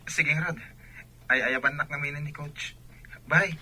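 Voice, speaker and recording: A man playing a friendly, modest young athlete with a raspy edge in an Ilocano radio drama. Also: a little high, steady tone, slow, pushed and bright, exaggerated voice. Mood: grateful, upbeat.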